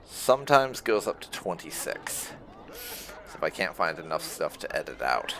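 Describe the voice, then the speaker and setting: A man's voice: low-pitched, sing-song, normal speed, fairly bright tone. A man hosting a let's play, giving casual, rambling gameplay commentary in an informal way. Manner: rambling, informal, with occasional swearing.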